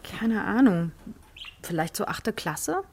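A woman playing a romantic, nostalgic mother in a children's radio play, with a husky voice and a colloquial, exclaiming delivery. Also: medium pitch, sing-song, takes it slowly, full character voice. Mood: casual, teasing.

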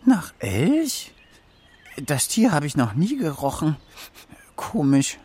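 Sly, proud forest fox, husky, swooping delivery, for a children's radio play. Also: male voice, fairly high pitch, sing-song, slow, warm tone, full character voice. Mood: musing.

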